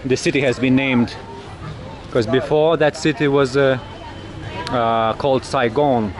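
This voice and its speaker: A middle-aged man from Europe narrating a travel vlog as he walks busy city streets, halting and pausing often on filler sounds. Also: mid-range voice, steady tone. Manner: hesitant, halting, many filler pauses. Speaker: travel vlogger. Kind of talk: casual walking narration. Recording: outdoor street noise.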